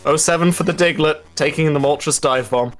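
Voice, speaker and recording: A man's voice: a little high, moderate pace, a bit bright, soft background music. A man giving casual live commentary on a video game speedrun race.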